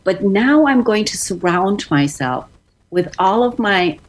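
A woman's voice: medium pitch, expressive, a little slow. A woman in her fifties telling a personal story in an effusive way, often repeating words for emphasis. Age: 50s.

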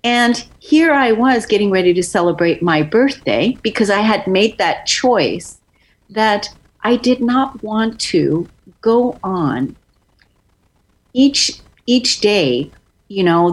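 A woman's voice: mid-range voice, animated, slow pace, poor audio. A woman in her fifties telling a personal story in an effusive way, often repeating words for emphasis. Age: 50s.

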